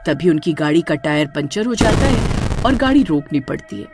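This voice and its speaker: A narrator reads a scripted story dramatically. The pitch moves in a lively way, at a middle height. Faint music plays underneath. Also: normal speed.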